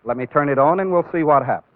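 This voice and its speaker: A man giving an instructional demonstration as a training-film instructor, explaining deliberately step by step and stressing key words, on an old film soundtrack. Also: a little high, narrow, tinny audio.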